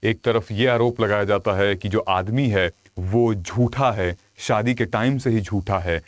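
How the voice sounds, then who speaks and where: A man's voice: fairly low pitch, measured pace, no echo. Man explaining in informal style. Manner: conversational storytelling with frequent check-in tags. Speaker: UPSC exam coach and video host. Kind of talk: informal explainer commentary to viewers.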